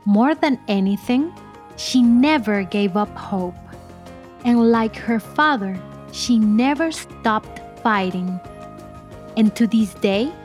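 A woman narrating a scripted biographical story for children in a measured, expressive way. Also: fairly high pitch. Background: music bed.

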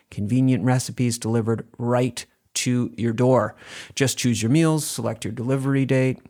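A man with a medium-pitched voice delivers a podcast sponsor read as the show's creator. His delivery is scripted but conversational, addressed straight to listeners. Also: darkish tone. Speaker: show creator reading a sponsor message.

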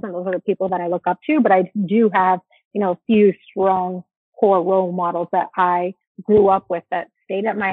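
A young adult woman from the United States, talking casually as an interview guest, relaxed and chatty with many fillers and restarts. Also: medium pitch, slightly rough, no room sound. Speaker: interview guest, former soccer player. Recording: dry tinny phone-like line.

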